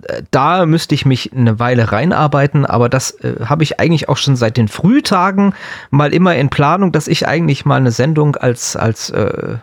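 Man podcaster with slightly creaky, dry sound. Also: mid-range voice, lively intonation, moderate pace, no echo. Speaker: podcast and radio co-host. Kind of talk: casual chat, answering questions.

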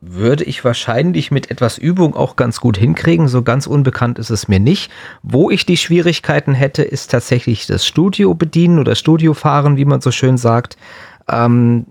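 A man's voice: medium pitch, lively intonation, normal speed, vocal fry, darkish tone, no room sound, clean studio sound. A man co-hosting a podcast, chatting casually and answering questions in a rambling, joking way. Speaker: podcast and radio co-host.

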